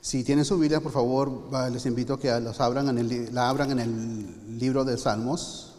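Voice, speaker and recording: A man's voice: medium pitch, steady tone, normal speed, darkish tone. A man interpreting a sermon live, translating on the fly with frequent restarts. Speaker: church interpreter. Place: church sanctuary. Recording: long church hall reverb.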